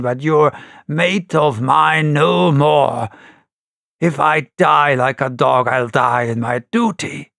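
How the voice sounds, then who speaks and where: A man voicing an honest, brave but shaken sailor with a hoarse, trembling voice and rough seaman's speech, in a storybook reading. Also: fairly high pitch, a bit bright, no echo, clean studio sound, exaggerated voice. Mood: defiant. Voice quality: hoarse, shaking voice. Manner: earnest, rough sailor's grammar.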